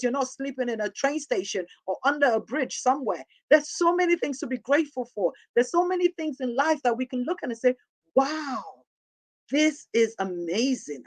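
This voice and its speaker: A woman with a husky, hoarse voice from a sore throat hosts her motivational show. She talks in an upbeat, encouraging way, like a preacher. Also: sits high, poor audio. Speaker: host. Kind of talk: motivational talk show sign-off.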